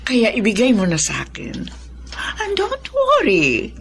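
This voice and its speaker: Scheming stepmother, very animated. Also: fairly high pitch, unhurried, vocal fry, heavily acted. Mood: condescending. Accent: Filipino. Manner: falsely sweet, condescending, self-important. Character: scheming, domineering stepmother taking over the family company. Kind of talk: Filipino radio drama acting.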